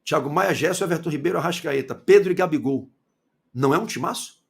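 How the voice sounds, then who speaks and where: Gravelly emphatic Bahian football pundit. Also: male voice, quick pace. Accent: Bahian Portuguese. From Salvador, Bahia, Brazil. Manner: emphatic, rhetorical questions, shifts from loud to low. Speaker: football commentator. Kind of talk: opinionated football commentary monologue.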